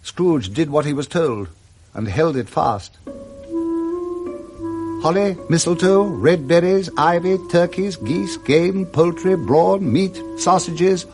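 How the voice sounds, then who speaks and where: British guy narrating old radio story, a bit high pitched, really savours the words. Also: slow, music in the background. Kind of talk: scripted literary narration, 1960s radio drama.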